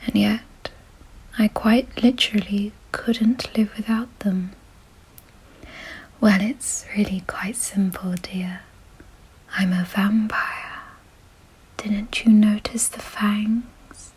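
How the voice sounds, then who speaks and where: A woman voicing a gentle, refined, doting vampire mistress in a soothing, softly possessive ASMR roleplay whisper. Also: medium pitch, fairly even intonation, slow, pauses often, poor audio. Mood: calm explaining. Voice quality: breathy, husky whisper.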